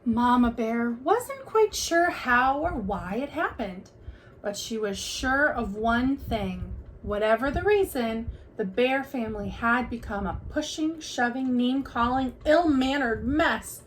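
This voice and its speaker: Female storybook reader, teacher type. High-pitched, unhurried, expressive, with faint noise behind. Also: low-quality recording. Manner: expressive, deliberate storytelling delivery. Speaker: teacher reading aloud. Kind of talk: children's picture book read-aloud.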